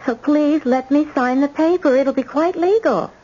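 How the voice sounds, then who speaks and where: Radio drama: actress as shy, resolute eighteen-year-old girl, fairly high voice, dull vintage sound. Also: normal speed, dark tone, close to an everyday voice. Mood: determined. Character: sheltered eighteen-year-old heiress, shy but determined granddaughter. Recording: muffled old radio recording.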